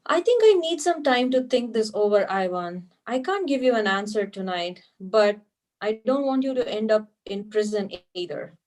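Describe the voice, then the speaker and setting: A woman's voice: mid-range voice, expressive, moderate pace, a little mellow, natural voice. A woman playing a wry, guarded fiancée in a scripted table read, with a dry, skeptical delivery. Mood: wary. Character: wry, guarded young woman engaged to a spy.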